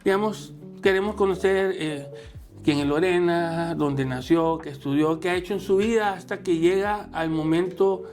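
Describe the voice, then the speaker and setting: A man's voice: high voice, fairly even intonation, moderate pace, darkish tone, faint music behind. A man hosting an interview, who interjects often and restarts his questions with stammers. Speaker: interview host. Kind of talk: conversational interviewing.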